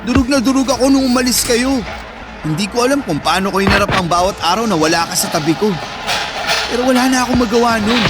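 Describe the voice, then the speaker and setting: A man's voice: very high-pitched, lively intonation, quick pace. A man with a Filipino accent playing a protective young older brother in a radio drama, emotional and pleading. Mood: anguished, pleading. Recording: music bed under dialogue. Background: background music.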